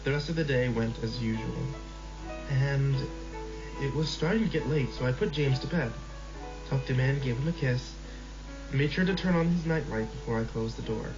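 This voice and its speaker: A man telling a horror story in measured first-person narration, over a slightly dull recording with background music. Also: mid-range voice. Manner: measured, slow in tense passages.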